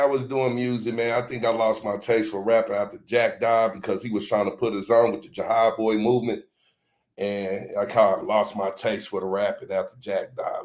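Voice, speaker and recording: A man being interviewed as a music promoter, telling his story in relaxed, slang-filled casual talk. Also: lowish voice. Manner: laid-back slang-heavy storytelling.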